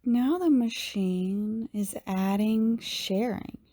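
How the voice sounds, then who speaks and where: A woman narrates a guided meditation at an extremely slow pace. Her voice is soft and mellow, sitting at an average pitch. She leaves long pauses between short phrases and lets the endings go hushed. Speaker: yoga teacher, meditation guide.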